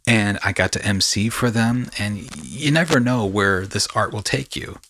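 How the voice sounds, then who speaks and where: A man's voice: lowish voice, moderate pace, sharp tone. A man hosting a podcast, talking in a casual, rambling storytelling way with slangy asides. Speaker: podcast host.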